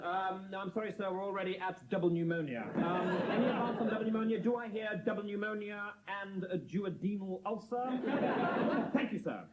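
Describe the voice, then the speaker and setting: A man playing a brisk, impatient, officious auctioneer, cajoling with repetitive patter in sketch comedy. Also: high voice, natural voice. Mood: cajoling, urgent. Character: brisk, impatient male auctioneer of hospital beds. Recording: dull, very noisy live recording, loud audience.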